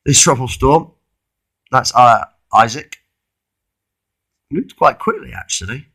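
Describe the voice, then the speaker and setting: Croaky man with a cold. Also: medium pitch, animated, fairly bright tone. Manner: halting, repeating himself. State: losing his voice from a cold. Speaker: amateur weather vlogger. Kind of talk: informal storm forecast commentary.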